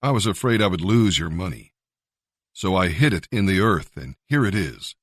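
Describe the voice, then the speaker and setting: A man's voice: low voice, sing-song, normal speed, no echo, studio quality. A man voicing a fearful, deferential servant reporting to his master in a scripture story. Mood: wary, apologetic.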